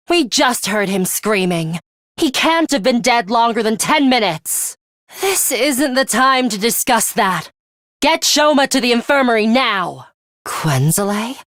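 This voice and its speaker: A woman's voice: fairly high pitch, fairly bright tone, no echo, studio quality, exaggerated voice. An actress playing a stern, composed young woman fighter in an English video game, blunt and guarded, with clipped, formal, matter-of-fact delivery. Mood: commanding, terse.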